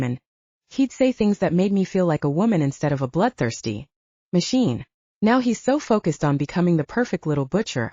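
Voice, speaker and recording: Woman voicing an earnest, lovelorn young woman fighter, animated. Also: fairly low pitch, no echo, dull audio.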